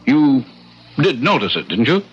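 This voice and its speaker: Older landlord, genteel, ingratiating, faintly sinister, very animated intonation, hesitant mannered phrasing, dull vintage radio drama recording. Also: male voice, mid-range voice, normal speed, husky. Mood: sly, fussy. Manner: courtly, hesitant, mannered phrasing with sly little chuckles. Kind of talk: 1970s radio drama acting. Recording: muffled 1970s radio broadcast recording.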